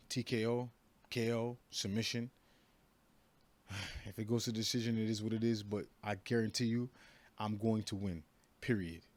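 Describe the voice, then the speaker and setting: A man in his thirties from New York speaks in a fairly low voice, sounding sure of himself. He trips over his words and repeats them often. The talk is loose and chatty, a fighter giving an interview. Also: fairly even intonation, measured pace, long pauses. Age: 30s. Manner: repetitive, stammering restarts, brash and confident. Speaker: professional fighter. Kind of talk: casual interview.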